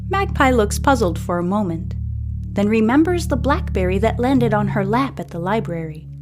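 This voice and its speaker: A woman's voice: mid-range voice, expressive, normal speed, over music. A woman hosting and narrating a scripted story, reading a book aloud.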